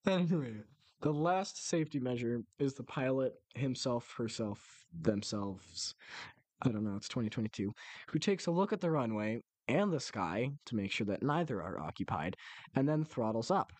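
A man's voice: medium pitch, animated, normal speed, a little mellow, no echo. A man co-hosting a casual podcast, explaining things in a jokey way and breaking off into asides. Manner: jokey, self-interrupting asides. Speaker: podcast co-host.

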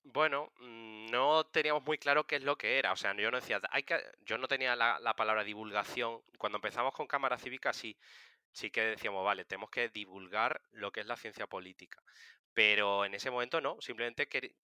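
A man in his thirties from Seville with a very bright, forceful voice, clean and crisp in a dry sound. He talks casually as an interview guest, telling stories and explaining things. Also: mid-range voice, brisk, no room sound. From Seville, Spain. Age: 30s. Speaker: interview guest, political science communicator. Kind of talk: casual conversational storytelling.

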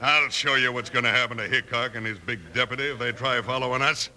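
An actor playing a swaggering, gloating outlaw gang boss in a 1950s radio western, boastful and drawling, with an American Western accent. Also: male voice, medium pitch, steady tone, quick pace, pushed and bright. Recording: vintage radio with noisy crowd.

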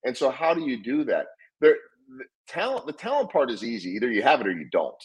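Middle-aged man, husky voice, earnest interview guest who stumbles and repeats words as he goes. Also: mid-range voice, big pitch swings, fast, poor audio. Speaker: guest speaker on leadership. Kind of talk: conversational interview storytelling.

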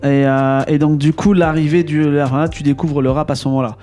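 A man's voice: fairly high pitch, level tone, a little fast, warm tone, faint music behind. A man hosting a casual conversational interview, feeling his way through questions with repeats and rephrasings. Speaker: podcast host interviewing a guest.

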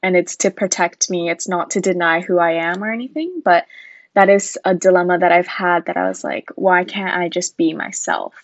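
A woman's voice: fairly low pitch, fairly even intonation, normal speed, no room sound, muffled recording. A young woman from Japan talking earnestly in a casual conversation, thinking aloud with frequent fillers. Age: young adult.

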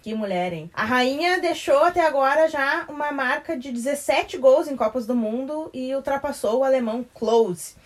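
A woman's voice: sits high, normal speed, few pauses. A woman of 22, hosting a sports podcast chat. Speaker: podcast host.

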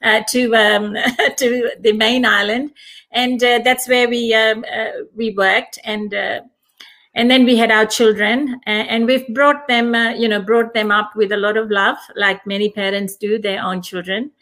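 Guest voice: middle-aged woman from Fiji, fairly high pitch, thoughtful and halting with many fillers. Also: steady tone, a bit bright. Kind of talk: casual conversation.

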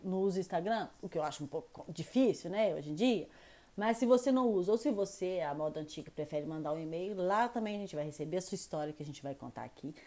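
Chatty, upbeat woman with a mellow voice. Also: mid-range voice. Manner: enthusiastic, chatty, self-deprecating. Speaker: podcast co-host. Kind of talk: casual podcast chat. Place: home in France.

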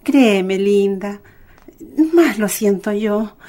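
A woman's voice: medium pitch, takes it slowly, warm tone, natural voice. A woman playing a doting, affectionate mother in a radio drama, with a Chilean accent and sighing, motherly endearments. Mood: sorrowful, tender. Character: tender, doting mother of a blind little girl.

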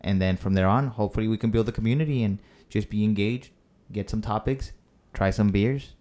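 Laid-back low-voiced podcast co-host man. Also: fairly quick, a little mellow. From Mexico. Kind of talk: casual chat.

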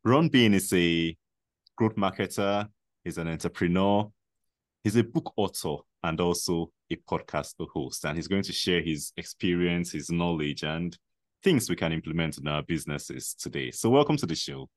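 A man's voice: deep voice, moderate pace, no room sound. A man hosting a podcast, introducing his guest and reacting with short comments and laughs. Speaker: podcast host. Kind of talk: conversational interview.

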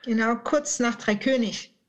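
A woman's voice: on the high side, measured pace. A woman in her late thirties from southern Germany, chatting playfully and colloquially as an interview guest. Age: late 30s. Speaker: podcast guest, author. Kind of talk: casual interview conversation.

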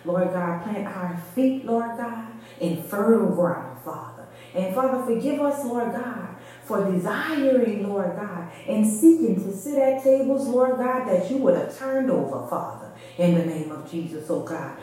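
A woman's voice: mid-range voice, expressive, measured pace, few pauses, warm tone. A woman leading a fervent extemporaneous church prayer in short, repeated invocations. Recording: very echoey reverberant room.

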